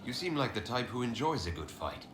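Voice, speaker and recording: Male hero, acted, animated intonation, terse, wary, level-headed game commander, echoey, faint noise. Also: mid-range voice, a little fast, lightly acted. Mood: questioning. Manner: terse, questioning, direct. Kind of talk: video game dialogue. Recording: roomy echo, faint background noise.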